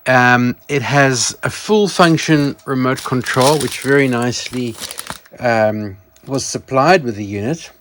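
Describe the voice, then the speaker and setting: A man presents vintage gear in a casual, off-the-cuff way. His voice sits at an ordinary middle pitch. He rambles through details and often corrects himself mid-thought. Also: a little slow, fairly bright tone, a little hiss or hum. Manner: rambling, self-correcting, informal. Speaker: presenter of a vintage electronics channel. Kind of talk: casual product show-and-tell.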